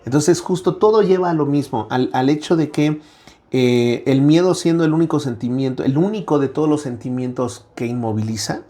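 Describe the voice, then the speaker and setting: A man's voice: on the high side, normal speed, warm tone. A middle-aged Mexican man with a Mexican accent, speaking as a guest academic in a conversational interview, reflective, with frequent "eh" fillers and a habitual "¿no?".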